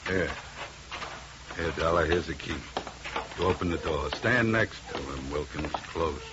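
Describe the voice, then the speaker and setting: A man's voice: very deep voice, unhurried. A man playing a menacing gunman in a 1950s radio drama, terse and commanding. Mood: grim, uneasy. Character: menacing male gunman, gruffly in command, uneasy. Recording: muffled 1950s radio recording, heavy noise.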